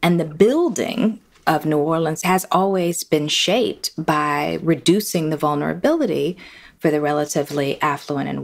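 Low, creaky-voiced woman explaining. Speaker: professor, guest expert. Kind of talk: thoughtful unscripted interview explanation.

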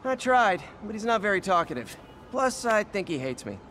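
A man's voice: high voice, sing-song, moderate pace, bright, forceful tone, slight background noise, full character voice. Earnest young American guy. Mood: playful, quippy. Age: 20s. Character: earnest young man, quippy superhero with an awkward streak. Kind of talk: naturalistic video game cutscene acting.

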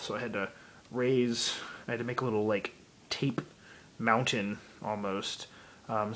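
Hoarse young man rambling through a build. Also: on the low side, fairly bright tone, a little hiss or hum. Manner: rambling, many ums, explaining while handling gear. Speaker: hobbyist showing a Halloween haunt build. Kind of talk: casual filmed walkthrough. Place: room in a home, indoors. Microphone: handheld phone camera.

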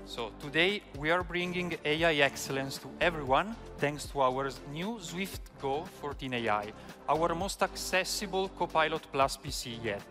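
A man delivering a scripted, polished product announcement. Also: on the high side.

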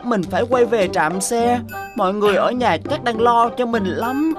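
A woman voicing an earnest, excitable, easily panicked little boy bus in a children's cartoon dub, with a childlike, pleading delivery. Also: fairly high pitch, moderate pace, over music, close to an everyday voice. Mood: anxious. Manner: exclamatory, pleading.

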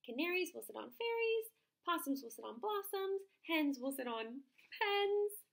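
Storybook frog, male, small and smug, read by a woman; high, loud, drawn out. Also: sing-song, creaky, exaggerated voice. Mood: boastful. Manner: high, loud, slow and sing-song. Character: boastful small male frog, cheerfully bossy.

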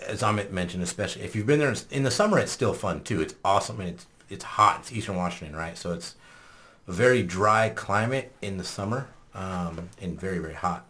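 A man hosting a podcast in a small studio, talking casually, swearing often and laughing loudly. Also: sits low, a little hiss or hum. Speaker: podcast host. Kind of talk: casual chat. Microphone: studio mic on boom arm.

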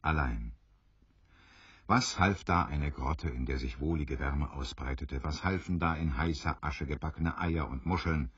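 A man narrating an adventure radio play as an unhurried, knowing storyteller. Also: extremely low pitch, a bit bright, slightly rough, no echo, lo-fi sound, heavily acted. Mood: suspenseful.

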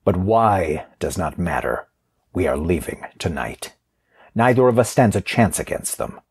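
An actor playing a weary, stern guardian in an audio drama, with a husky voice and a grave, terse manner. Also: male voice, sits low, big pitch swings, measured pace, a little mellow, heavily acted. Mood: grim, urgent. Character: weary, stern, protective male guardian on the run.

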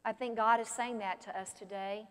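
An older woman pastor preaching an animated sermon from a pulpit in a large church sanctuary. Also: medium pitch, normal speed, smooth. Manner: animated, expressive preaching with gestures. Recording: long church reverb.

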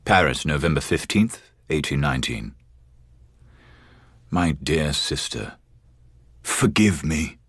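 A haunted, resolute English sea captain, narrates a letter for a board game. His voice is extremely low and husky, with formal, theatrical phrasing full of foreboding. The recording is dry, with no room sound. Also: animated, slow pace, long pauses, fairly bright tone, dry recording, exaggerated voice. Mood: grave, apologetic. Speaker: actor reading a game's introduction letter.

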